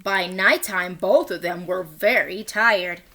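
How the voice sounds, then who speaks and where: A woman reading a bilingual children's picture book aloud as a teacher, in a deliberate, expressive way. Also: on the low side. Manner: deliberate, expressive, acts out short quotes; encouraging asides and questions to young listeners.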